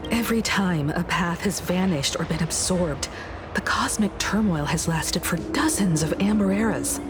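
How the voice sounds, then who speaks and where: A woman voicing a composed, scholarly woman in an anime-style game, speaking with solemn, measured eloquence. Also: on the low side, over music, lightly acted. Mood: pensive. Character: composed, scholarly woman archivist, grave and analytical.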